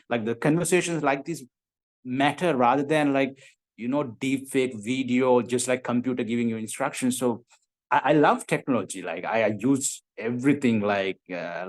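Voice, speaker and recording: A young man hosts a relaxed interview chat in a medium-pitched voice. He speaks informally and a little hesitantly, leaning often on the word "like" as he works through his thoughts. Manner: hesitant, informal, heavy use of "like". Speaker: show host, master's student.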